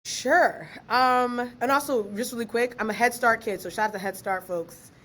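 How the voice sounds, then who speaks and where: Lively, slangy young woman guest. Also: fairly high pitch, moderate pace. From Ghana, raised in Maryland. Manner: lively, slangy, laughs easily. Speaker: guest, author and researcher. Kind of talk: casual podcast interview.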